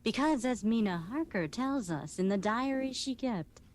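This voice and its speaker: A woman playing an ominous horror-show narrator who warns listeners in a grave, deliberate vintage radio style. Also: a little high, lively intonation, darkish tone, lightly acted. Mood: ominous warning.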